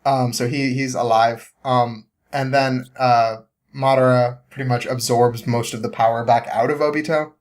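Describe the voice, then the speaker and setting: A man with a mid-pitched voice recaps a story in a loose, conversational way. He rambles and hesitates, feeling for the next bit, and sounds amused by what he is telling. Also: fairly even intonation. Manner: halting, rambling, amused, often chuckling. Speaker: podcast guest.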